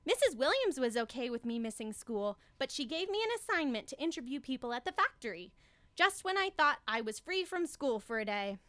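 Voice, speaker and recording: A woman's voice: sits high, very animated, fairly quick, fairly bright tone, dry recording. A young woman playing a perky, naive schoolgirl in a campy radio comedy, reading her diary with exaggerated childlike delivery. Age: young adult. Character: young schoolgirl Megan, chirpy, naive, gleefully rough. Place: small radio studio. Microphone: studio microphone on stand.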